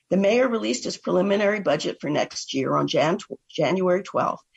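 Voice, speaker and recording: A woman hosting a radio talk show and reading an education news recap, hoarse from a cold. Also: sits low. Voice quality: gravelly and husky.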